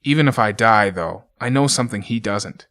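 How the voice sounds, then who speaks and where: Guy doing a grim doomed ranger reading his last journal, lowish voice, slow and somber. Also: a bit bright. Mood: resigned. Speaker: journal narrator in an audio drama.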